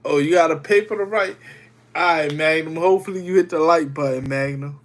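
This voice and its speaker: Man, young adult, getting over a cold, slightly high voice, loose rambling live chat. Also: a little fast. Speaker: livestreamer. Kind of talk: casual livestream chat with viewers.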